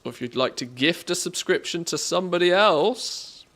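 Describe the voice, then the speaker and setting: A man's voice: on the high side, lively intonation, moderate pace, a bit bright. A man with an English accent chatting casually as a game livestreamer, in a jokey, self-deprecating way. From England, born in the northwest, lived mostly in the Midlands.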